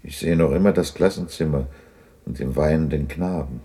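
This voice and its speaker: A man's voice: very deep voice, normal speed, dark tone, breathy edge. A man acting a remorseful old miser moved by his memories in a 1960s German radio drama. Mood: sad, remorseful. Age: older.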